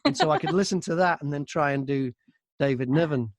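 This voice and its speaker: Higher-pitched man chatting, very dry sound. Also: a little fast, no echo. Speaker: audiobook narrator and voice actor, interview guest. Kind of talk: casual interview chat. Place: remote call from another country.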